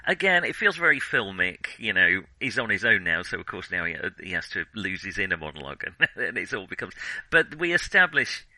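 A man hosting a casual film discussion, talking in a hesitant, halting and wordy way. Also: deep voice, very animated, bright, forceful tone, no room sound, low-quality recording. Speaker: film podcast host.

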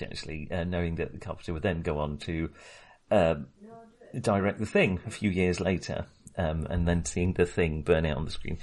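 A man's voice: deep voice, darkish tone. A man co-hosting a casual film discussion, giving his opinions bluntly and emphatically. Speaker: film podcast co-host.

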